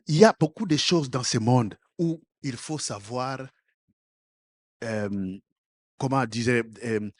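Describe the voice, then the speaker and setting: A man preaching a sermon as pastor, with a first language other than French, who repeats and restarts his words and rises to loud exhortation before dropping quiet again. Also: mid-range voice, dry recording. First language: another African language.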